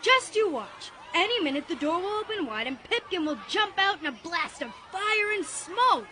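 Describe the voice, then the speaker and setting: A woman playing an eager, excitable young boy in a dramatized audiobook, exclaiming to his gang. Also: extremely high pitch, normal speed, a faint crowd, slight background noise, exaggerated voice. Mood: excited. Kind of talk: dramatized audiobook radio play.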